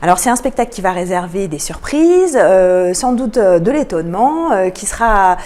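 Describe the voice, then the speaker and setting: A woman giving explanatory answers as a radio interview guest, often pausing between phrases. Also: mid-range voice, very animated, a little mellow. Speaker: interview guest, cultural programme manager.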